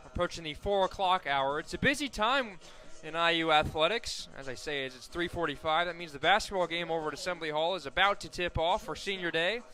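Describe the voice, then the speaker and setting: An American man calling live softball play-by-play as a radio announcer, conversational and ready to lift with the action. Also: on the high side, fairly quick, hardly any pauses, faint music behind. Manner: conversational between plays, excited calls on action.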